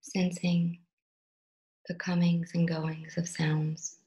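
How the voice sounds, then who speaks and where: Low-pitched woman guiding a meditation. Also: level tone, low-quality recording. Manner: soft, unhurried, with long pauses between phrases; gentle, instructional, soothing. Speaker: meditation teacher. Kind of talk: guided meditation.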